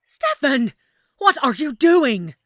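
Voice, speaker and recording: Woman playing a shrill-edged, haughty, high-voiced villain queen. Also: sing-song, takes it slowly, fairly bright tone, no echo, clean studio sound, full character voice. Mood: indignant, commanding. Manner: haughty, indignant, imperious. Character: haughty, treasonous older queen and mother. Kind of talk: fantasy audio roleplay acting.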